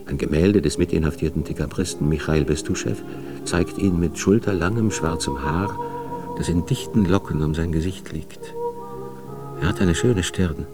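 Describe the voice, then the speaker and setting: Low-voiced male narrator over music. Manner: factual, measured. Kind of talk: scripted documentary narration in a radio drama.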